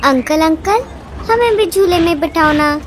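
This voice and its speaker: A woman's voice: very high-pitched, animated, a little slow, a little hiss or hum. A woman voicing an eager, naive little boy bird who pleads and exclaims in a children's cartoon story. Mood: pleading. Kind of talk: Hindi cartoon story dialogue.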